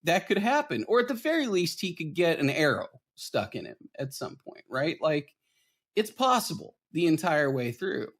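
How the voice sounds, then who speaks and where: A man with a slightly high voice talks through films as a podcast host. He explains his points casually but with animated emphasis, in a conversational flow. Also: normal speed. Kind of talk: casual film discussion.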